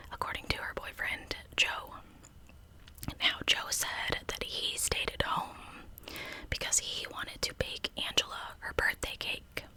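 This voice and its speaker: Woman, breathy whisper, very bright and airy, ASMR storytelling, intimate, unhurried. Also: poor audio. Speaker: host of a true crime ASMR channel.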